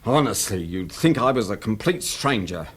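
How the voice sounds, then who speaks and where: An actor with an English accent playing an aggrieved working-class husband in a radio drama, eager for money. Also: male voice, fairly low pitch, expressive, normal speed, slightly rough. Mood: indignant. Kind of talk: BBC radio drama acting.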